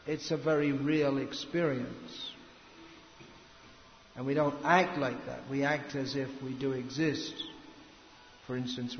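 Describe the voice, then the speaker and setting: A man giving a slow philosophical spiritual lecture, halting and restarting his sentences. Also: medium pitch. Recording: echoey hall, lo-fi with faint noise.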